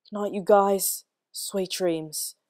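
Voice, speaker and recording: A woman voicing an exasperated young man who scolds loudly, then fusses over sleepy children in a fanfic reading. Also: mid-range voice, animated, moderate pace, no echo, clean studio sound, natural voice. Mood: gentle. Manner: scolding, flustered.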